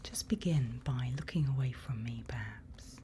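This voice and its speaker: A woman playing a calm forest night-watch guide in an ASMR roleplay, whispering soothing, unhurried instructions close to the microphone. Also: rumbling low, noisy background. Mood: calm, hushed.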